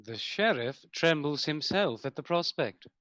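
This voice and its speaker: A man's voice: fairly high pitch, animated, unhurried, fairly bright tone, dry recording. A man narrating a radio play as a wry storyteller, in scripted style. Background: faint music.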